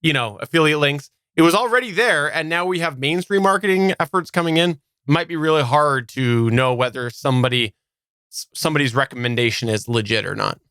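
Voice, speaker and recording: A man's voice: on the high side, fairly quick, bright, forceful tone, smooth, no room sound. A man from Canada co-hosting a podcast, giving his opinions in casual conversation. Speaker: podcast co-host.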